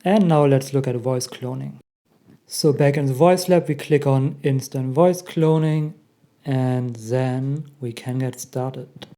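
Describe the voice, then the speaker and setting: A young man with a German accent, hosting a casual software tutorial and chuckling at the results. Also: on the high side. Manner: chatty, laughs often at results. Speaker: tech tutorial host. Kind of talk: casual screen-recorded tutorial.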